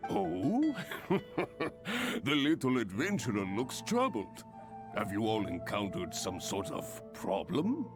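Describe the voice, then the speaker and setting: A man's voice: fairly low pitch, wildly swooping pitch, slow pace, music in the background, exaggerated voice. A man playing a jovial, boastful seasoned adventurer and guild master in a video game, grandiose and theatrical. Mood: jovial, welcoming. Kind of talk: animated video game voice acting.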